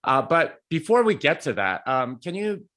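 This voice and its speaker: A man's voice: a little high, very animated, moderate pace, a bit bright. Chatty, laughing podcast host man. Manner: chatty, laughs often, stammering restarts. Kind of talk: casual interview hosting.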